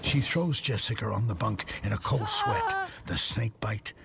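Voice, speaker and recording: A man's voice: mid-range voice, wildly swooping pitch, a little slow, vocal fry, heavy background noise, exaggerated voice. A man giving dramatic scripted narration for an audio drama.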